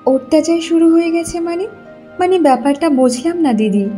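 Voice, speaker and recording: Casting: woman as timid, pleading young daughter-in-law for a cartoon drama, high-pitched and submissive. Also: animated, a little fast, warm tone, soft background music. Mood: puzzled, defensive. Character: meek, pleading young bride from poor family. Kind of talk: cartoon moral story acting.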